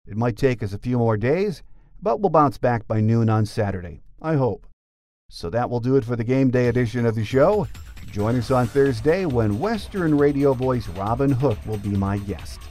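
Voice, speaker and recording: Male sports podcast host with medium pitch. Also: animated, normal speed, a little mellow, soft background music. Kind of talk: scripted sports show narration.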